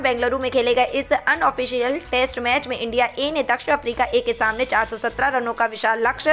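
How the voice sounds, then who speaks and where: A woman news anchor reading scripted headlines in a brisk, formal bulletin style. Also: sits high, fairly bright tone.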